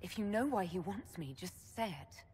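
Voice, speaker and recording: An actress playing a determined, defiant elven hero in a video game, with a pointed, resolute delivery. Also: female voice, mid-range voice, expressive, moderate pace, darkish tone, husky. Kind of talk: dramatic video game acting.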